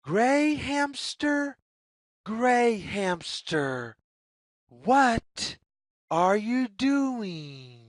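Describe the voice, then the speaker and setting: A woman reading a picture book aloud to young children as a narrator, with deliberate, measured, sing-song phrasing. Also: fairly high pitch, big pitch swings, no room sound, studio quality.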